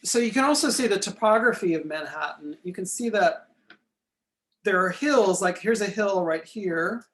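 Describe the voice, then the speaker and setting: A man giving a recorded lecture while pointing out features on a map. He is losing his voice, keeps clearing his throat and apologizes for it. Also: high voice, expressive, normal speed, a bit bright.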